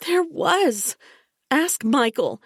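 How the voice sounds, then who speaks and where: A woman voicing a distraught young woman who pleads loudly and insistently. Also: high-pitched, very animated, very slow, no room sound, clean studio sound, pitched above the performer's own voice, full character voice. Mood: pleading.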